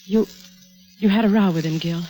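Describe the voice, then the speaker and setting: An actress playing a worried, suspicious wife who runs a failing ranch, in 1940s radio drama style with narration and questioning dialogue. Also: female voice, mid-range voice, normal speed, mellow, close to an everyday voice. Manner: brooding, questioning. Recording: vintage radio broadcast with music.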